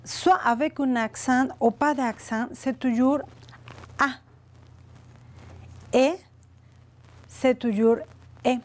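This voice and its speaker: A woman in her forties, a native Spanish speaker with a Mexican accent in French, teaching a beginner language lesson in a deliberate, carefully enunciated way. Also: high voice, fairly even intonation, darkish tone. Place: indoor studio set with chalkboard. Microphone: lapel.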